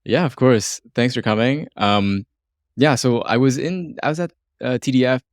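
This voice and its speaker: A man's voice: mid-range voice, normal speed, no room sound, clean studio sound. A man hosting a casual interview, talking in an informal, rambling, chatty way. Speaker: interviewer and host.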